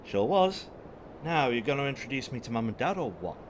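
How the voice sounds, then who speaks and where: A man's voice: mid-range voice, big pitch swings, a little fast, a little hiss or hum, close to an everyday voice. Cocky, flirtatious space rogue played by a man. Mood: gleeful. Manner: playful, teasing, theatrical. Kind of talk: audio drama acting.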